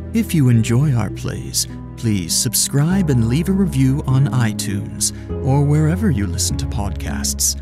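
A man hosting a podcast, reading scripted narration. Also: medium pitch. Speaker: podcast host. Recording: music under the voice. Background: music.

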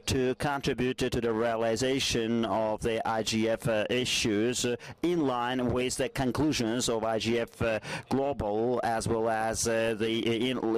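A man with a mid-pitched voice gives a formal report in a large conference hall. He pauses often on filler sounds between phrases. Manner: formal, hesitant, many fillers. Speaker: panelist.

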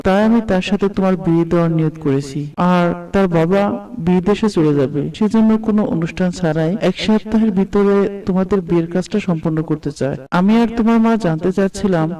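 A man's voice: high-pitched, dark tone, far from the mic, close to an everyday voice, low-quality recording. A man voicing a doting, affectionate middle-aged father in a moral cartoon.

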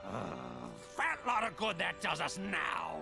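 Gravelly, cocky werewolf villain. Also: male voice, fairly high pitch, wildly swooping pitch, takes it slowly, music in the background, full character voice. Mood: scornful. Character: cocky, grumpy undead male werewolf villain. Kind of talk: animated video game villain acting.

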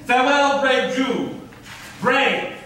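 A man's voice: very high-pitched, takes it slowly, pushed and bright, exaggerated voice. A man playing a proud conquering commander on stage, declaiming old verse in an echoey hall. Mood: lordly, pleased. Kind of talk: theatrical staged reading of Elizabethan verse. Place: theatre stage, indoors. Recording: echoey stage hall from far off, faint noise.

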